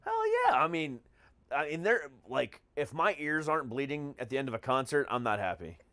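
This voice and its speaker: A man's voice: mid-range voice, sing-song, moderate pace, bright, forceful tone, dry recording. A man hosting a podcast in casual conversation, talking in an emphatic, slangy way with frequent swearing. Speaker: podcast host. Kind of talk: casual chat.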